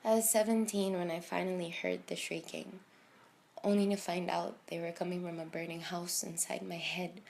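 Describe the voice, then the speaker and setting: A woman in her early twenties performing dramatic spoken word poetry, with a deliberate, emotive delivery full of pauses and emphasis. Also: fairly low pitch, flat delivery, creaky, a little mellow, a little hiss or hum. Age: early 20s. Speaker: slam poet.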